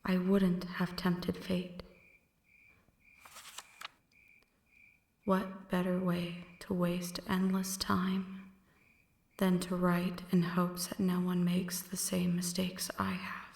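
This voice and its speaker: A woman playing a flirty, brooding female vampire in an ASMR roleplay, speaking in hushed, breathy whispers with a teasing, poetic delivery. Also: fairly low pitch, completely flat delivery, slow pace, slight background noise. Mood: sorrowful, brooding. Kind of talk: ASMR roleplay, scripted dramatic monologue.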